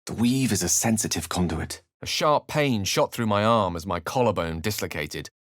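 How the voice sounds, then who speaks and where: Scholarly wizard, low-pitched, eloquent, theatrical, self-assured romantic, video game character, dry studio sound. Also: male voice, animated, moderate pace, a bit bright, dry recording. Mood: thoughtful. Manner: eloquent, theatrical, ornate phrasing.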